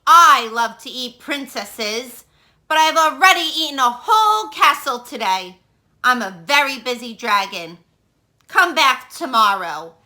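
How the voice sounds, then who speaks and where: A woman's voice: high voice, sing-song, unhurried, pushed and bright, exaggerated voice. A woman voicing a boastful, grumpy male dragon with a blustering, dismissive manner in a children's storybook read-aloud. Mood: smug, dismissive. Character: boastful, busy, grumpy male dragon, fond of eating princesses.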